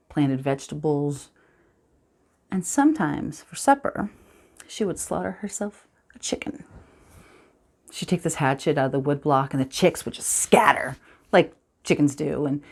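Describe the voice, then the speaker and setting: A woman performing a dramatic film monologue as a somber storyteller recalling childhood, speaking in a hushed, halting, deliberate way. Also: deep voice, pitched below the performer's own voice. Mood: hushed, reflective.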